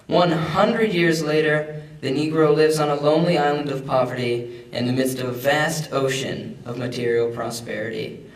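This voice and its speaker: A man's voice: a little high, steady tone, moderate pace. A young man, a student, giving an emphatic dramatic reading of a historic speech. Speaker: student reader. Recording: echoey hall, distant microphone.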